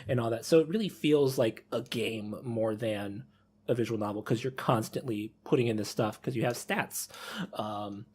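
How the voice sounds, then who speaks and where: A man's voice: mid-range voice, moderate pace, darkish tone, slightly rough. A man hosting a casual podcast discussion, rambling and rephrasing as he thinks aloud. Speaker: podcast host.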